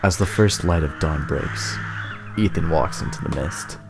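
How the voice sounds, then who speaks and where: Low voiced guy reading screenplay directions, dramatic, music underneath. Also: measured pace, gravelly. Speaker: screenplay narrator. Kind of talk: dramatic scripted narration.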